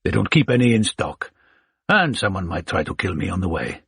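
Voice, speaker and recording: A man playing a blind older scholar who is wise and witty, speaking with courteous, measured, formal phrasing. The voice sits low and a little husky, with a dry, close sound. It is an audiobook figure. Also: sing-song, fairly bright tone, no echo, full character voice. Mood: calm.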